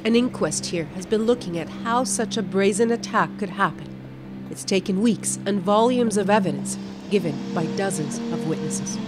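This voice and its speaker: A woman news correspondent reading a scripted documentary report in a measured, dramatic storytelling way. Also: medium pitch. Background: music bed under the voice-over.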